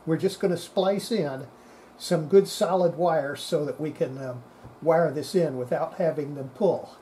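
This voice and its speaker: A man giving an unscripted hands-on repair demonstration, talking haltingly and half to himself as he works. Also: a little high. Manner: halting, muttering to himself while working. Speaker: hobbyist restorer presenting a repair. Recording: steady background noise.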